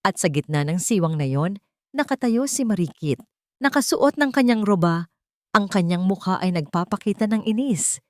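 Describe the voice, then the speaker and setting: A woman's voice: medium pitch, lively intonation, normal speed, darkish tone, dry recording, studio quality. A woman storyteller reading a scripted love drama in a dramatic narration style.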